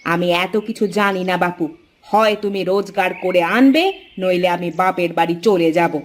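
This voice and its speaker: Cartoon wife, acted by a woman: demanding, sharp-tongued, forever scolding her husband. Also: fairly low pitch, moderate pace, close to an everyday voice. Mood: scornful. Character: nagging, demanding wife of a poor villager. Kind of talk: Bengali cartoon folk-tale acting.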